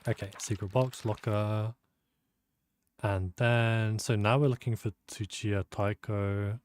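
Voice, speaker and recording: Low-voiced man musing through a puzzle. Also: fairly even intonation, slow, a little mellow, smooth, dry recording. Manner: thinking aloud, self-deprecating, muttering to himself. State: sleepy. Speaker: gameplay streamer. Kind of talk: casual let's-play commentary.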